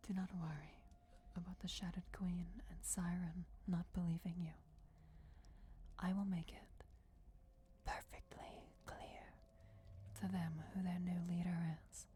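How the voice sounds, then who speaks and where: A woman's voice: on the low side, flat delivery, unhurried, warm tone, a little hiss or hum, lightly acted. A woman voicing a regal, commanding vampire goddess in a solemn whispered fantasy ASMR roleplay. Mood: commanding, reassuring. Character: ancient vampire goddess, commanding, softly menacing, bestowing destiny.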